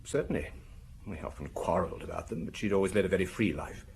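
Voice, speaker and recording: A man's voice: deep voice, quick pace, a little mellow, slight background noise, close to an everyday voice. A man in a radio drama playing a defensive, bitter pharmacist of forty, precise and prickly in his speech. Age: 40s. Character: defensive, bitter forty-year-old male provincial pharmacist suspect.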